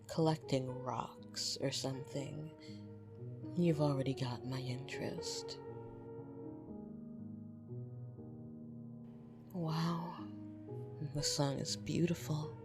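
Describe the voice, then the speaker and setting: A woman playing a fond, teasing, possessive vampire in an intimate ASMR roleplay, speaking softly and often slipping into whispers. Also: deep voice. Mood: tender. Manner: soft, breathy, teasing, often dropping into whispers. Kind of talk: intimate ASMR roleplay, scripted monologue to listener. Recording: music behind the voice.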